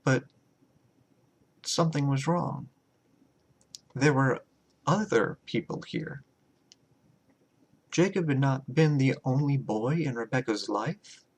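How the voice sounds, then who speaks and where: A man narrates a horror short story in a medium-pitched voice. His delivery is very slow and measured, with deliberate dramatic pauses. It is a scripted reading by the author. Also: slightly rough.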